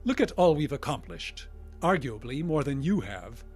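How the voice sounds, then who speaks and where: A man's voice: medium pitch, sing-song, moderate pace, a little mellow, breathy edge, faint music behind. An actor playing a defiant human man confronting an alien enemy in an audio drama, with terse, challenging delivery. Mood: defiant, baffled.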